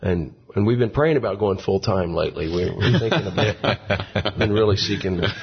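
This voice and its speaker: Gravelly middle-aged man, joking. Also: lowish voice, moderate pace. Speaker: gospel group singer, interview guest. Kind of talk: casual interview conversation. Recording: slightly dull lo-fi recording.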